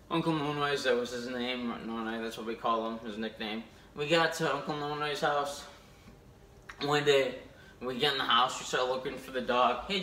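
A man's voice: mid-range voice, sharp tone, distant mic. A young man telling rambling jokes to camera in his kitchen, chatty and stumbling over words. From United States. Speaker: comedy vlogger. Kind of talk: casual stand-up jokes to camera. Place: home kitchen, indoors. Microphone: phone camera a few steps away. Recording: echoey kitchen.

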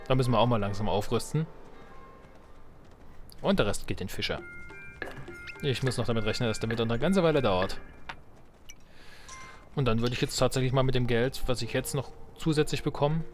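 A man with a mid-pitched voice hosts a let's play in a casual way. He thinks aloud about his next moves and drops wry, joking asides. Game music plays behind his commentary. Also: moderate pace, long pauses.

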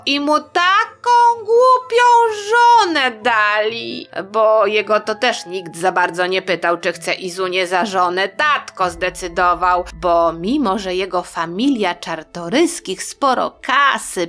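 A woman's voice: on the low side, fairly bright tone. A woman telling history to young listeners in a chatty, playful storytelling style, speaking directly to her audience.

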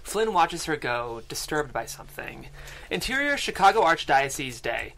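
A man's voice: a little high, sing-song, moderate pace, vocal fry, pushed and bright. A man reading screenplay stage directions aloud as a narrator, close to a studio microphone. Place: small podcast studio. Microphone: studio microphone on desk.

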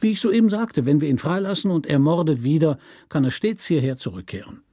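A man's voice: fairly high pitch, lively intonation, fairly quick, mellow, no echo, clean studio sound, voice pushed up. A man voicing a cynical politician, a state governor, condescendingly courteous and emphatic in an audiobook story.

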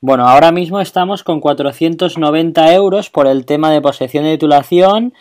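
Brisk officious Spanish man. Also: fairly high pitch, a little mellow. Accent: Spain. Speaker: prank caller. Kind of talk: staged prank phone call, posing as a stern official.

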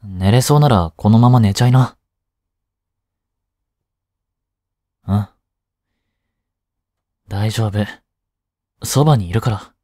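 A young man acting in an intimate voice drama as a caring tutor and medical student, soft, soothing and gently teasing as he scolds. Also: lowish voice, measured pace, no echo, studio quality. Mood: gentle, soothing. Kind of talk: intimate one-sided voice drama aimed at women.

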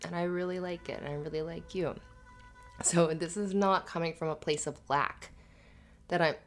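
A woman playing an affectionate, playful girlfriend in an intimate ASMR roleplay, soft-spoken and hesitant. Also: fairly low pitch, steady tone, normal speed, soft background music, natural voice.

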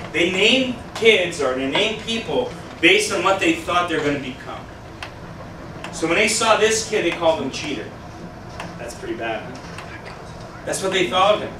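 A youth pastor in his thirties talks with a stuffed-up nose in a high voice, chatting and quizzing his listeners. The voice comes from a distant camera in an echoey room, with a steady hiss underneath. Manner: conversational, asks the audience questions, gestures widely. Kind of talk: casual youth sermon. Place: small meeting room with a youth group. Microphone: far camera, no mic.

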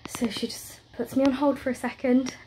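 A woman's voice: sits high, little pitch movement, moderate pace, dark tone, slight background noise, poor audio. Stressed, near-tearful British woman, chatty. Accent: British English. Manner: rambling, chatty, with many fillers and run-on stories. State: stressed and close to tears. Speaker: vlogger. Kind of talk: casual chat to camera. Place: at home, indoors.

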